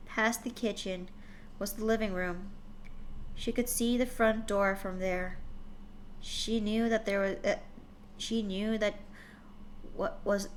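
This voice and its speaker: A woman's voice: medium pitch, slight background noise, low-quality recording. A woman streamer reading fanfiction aloud and talking to her chat. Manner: slow, deliberate, talks to chat. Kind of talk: reading a story aloud on a livestream.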